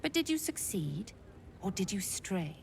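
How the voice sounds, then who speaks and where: A woman playing a severe inquisitor of a dark cult in a video game, commanding and probing. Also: medium pitch, big pitch swings, a little slow, vocal fry, a little hiss or hum. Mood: stern, probing. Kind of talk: video game character dialogue.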